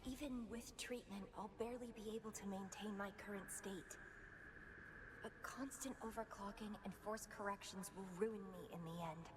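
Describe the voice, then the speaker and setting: A woman's voice: mid-range voice, normal speed, background noise, lightly acted. A woman playing a proud, obsessive young woman fighter in a video game, speaking in a dramatic, introspective monologue. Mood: bitter, anguished. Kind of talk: video game character acting. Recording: music under the voice.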